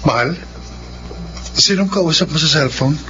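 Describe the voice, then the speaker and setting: An actor playing a devoted, forgiving husband in a radio drama, speaking earnestly and tenderly. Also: male voice, a little high, a little slow, sharp tone, slight background noise. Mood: concerned. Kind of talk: Filipino radio drama acting. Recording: muffled lo-fi radio, music behind.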